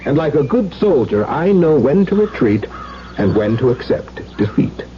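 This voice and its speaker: A man's voice: on the high side, big pitch swings, normal speed. A man acting an urbane, skeptical baron in a radio drama, with a formal, teasing, arch manner. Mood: wry, skeptical. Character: urbane, wry baron, skeptical gentleman cousin. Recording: muffled 1970s radio broadcast with noise.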